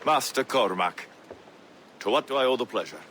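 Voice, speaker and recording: A bright-voiced sea captain, played by a male actor for a historical video game. His delivery is polite and eager with an animated, swooping pitch, set over a light ambient noise. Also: moderate pace, close to an everyday voice. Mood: courteous. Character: courteous British naval captain and explorer, eager and deferential. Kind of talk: video game cutscene acting.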